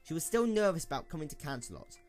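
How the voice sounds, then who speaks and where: A man's voice: a little high, big pitch swings, fast talker, darkish tone, faint music behind. A man reading scripted story narration as the narrator of an audio drama.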